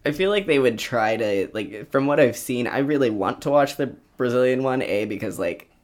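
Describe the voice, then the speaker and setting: A man's voice: mid-range voice, animated, normal speed. Young guy podcast co-host, rambly casual joking around, lots of swearing. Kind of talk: casual comedic banter.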